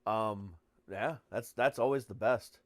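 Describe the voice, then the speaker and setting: A man's voice: fairly low pitch, unhurried, no echo. An American man hosting a podcast in casual chat, speaking bluntly and swearing freely. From United States. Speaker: podcast host and comedian.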